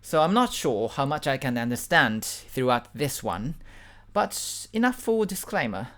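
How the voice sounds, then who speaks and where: Unhurried speaker. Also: mid-range voice, sing-song, fairly bright tone. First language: Japanese. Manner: hesitant, halting, with repeated words and fillers. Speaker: video reactor. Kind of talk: casual reaction commentary.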